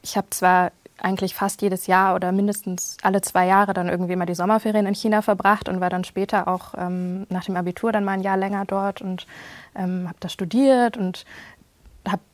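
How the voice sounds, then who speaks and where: A woman's voice: mid-range voice, little pitch movement, brisk, no echo. A young woman from Germany, a journalist speaking as a podcast guest in a casual, loose way with many hedging fillers. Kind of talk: conversational interview.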